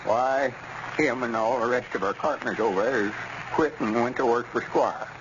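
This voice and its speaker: An actor playing a stubborn old country storekeeper with a rural Southern US accent, folksy and drawling, in a 1930s radio comedy. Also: male voice, medium pitch, normal speed, lightly acted. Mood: dejected. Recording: muffled, noisy old radio recording.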